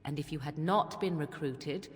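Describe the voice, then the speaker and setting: An actress playing a cool, dry-witted companion in a fantasy video game, with a sardonic, needling manner. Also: female voice, sits low, normal speed, close to an everyday voice. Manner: deadpan, sardonic. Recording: long reverb, noisy with clicks.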